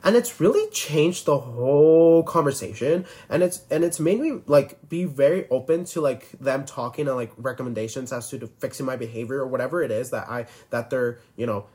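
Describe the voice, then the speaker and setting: A man's voice: mid-range voice, a little fast, hardly any pauses, warm tone. A twenty-year-old man hosting a casual solo podcast, rambling and filler-heavy, constantly restarting his sentences. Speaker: podcast host.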